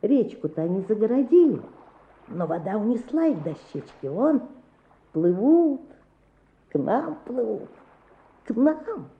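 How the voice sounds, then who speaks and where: Slow, muttering sly old villager. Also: female voice, a little high, big pitch swings. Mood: gleeful, greedy. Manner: slow muttering to self and dog. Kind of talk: Soviet-style radio play acting. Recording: very muffled, echoey, noisy old radio recording.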